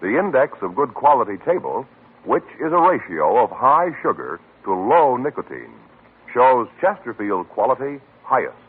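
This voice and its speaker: A man's voice: medium pitch, big pitch swings, moderate pace, slightly rough, narrow, tinny audio. A man announcing formally on an old radio broadcast with an emphatic, declamatory delivery.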